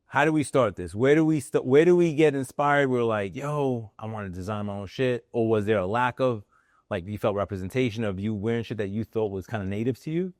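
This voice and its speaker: A man's voice: medium pitch, fairly quick, darkish tone, no room sound. A man hosting a casual interview podcast in a studio, talking in a laid-back, slangy way and often cutting in. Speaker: podcast host.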